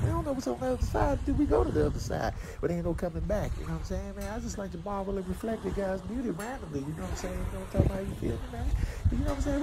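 A man voicing a laid-back, philosophical heron, gravelly and rambling with repeated slangy tags. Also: high-pitched. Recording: clearly noisy background.

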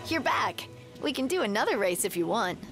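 A woman's voice: a little high, very animated, a little fast. A woman playing a friendly young race hostess in a video game, upbeat and casual. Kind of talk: video game character dialogue. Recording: loud game music behind.

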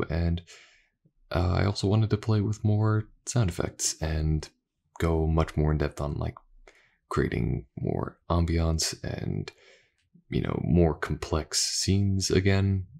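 A man's voice: rumbling low, vocal fry, a little mellow, breathy edge. A man, an ASMR voice actor, casually thanking his listeners in an outro.

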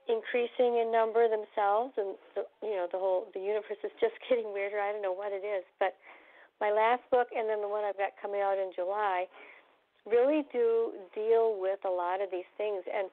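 A woman's voice: medium pitch, steady tone, normal speed. A woman with a scratchy voice, chatting casually as a call-in guest over the telephone. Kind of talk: casual chat. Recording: phone-like narrow band line.

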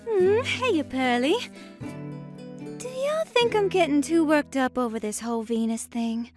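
A woman plays an earnest, sweet snow woman with a gentle lilt. The voice is very high and lively, over clear background music. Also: unhurried. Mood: uneasy. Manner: earnest, hesitant.

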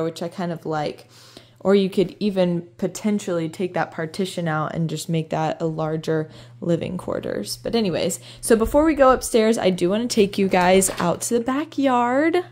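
A woman real estate agent giving an upbeat, chatty walking tour of a house, filming it herself on a phone. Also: lowish voice. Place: inside and around a house, voice-over while filming.

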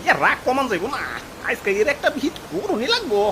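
A performer voicing a cranky, excitable old grandpa in a comic cartoon, grumbling and blustering. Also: sits high, a little fast, background noise. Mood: grumbling, annoyed.

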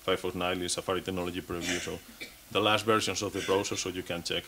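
A man's voice: low-pitched, level tone, fast, sharp tone, a little hiss or hum. A man in his thirties giving a technical conference talk on stage at a podium mic, with frequent restarts and repeated words. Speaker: conference speaker.

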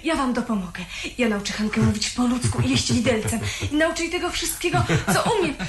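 A woman's voice: fairly high pitch, brisk, close to an everyday voice. A woman playing a naive, romantic young girl of good family in a radio drama, gushing and earnest. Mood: eager, giggly. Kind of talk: 1970s Polish radio drama acting. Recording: faint hiss on old radio recording.

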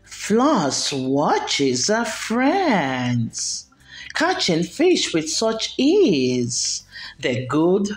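A woman reading a children's picture book aloud, expressively and with deliberate enunciation. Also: on the low side, wildly swooping pitch. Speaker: storyteller. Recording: roomy echo, background music. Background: music.

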